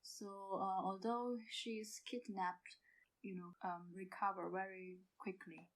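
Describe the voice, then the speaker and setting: Woman with hesitant, halting non-native English. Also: mid-range voice, level tone, poor audio. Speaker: podcast guest, amateur audio play actor. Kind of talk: casual interview answers.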